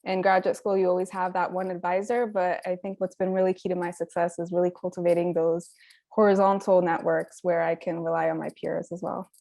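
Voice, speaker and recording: Woman panelist from California, relaxed, slight vocal fry, dry close sound. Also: lowish voice, brisk, dry recording. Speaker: panelist, assistant professor. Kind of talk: panel introduction. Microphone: video call.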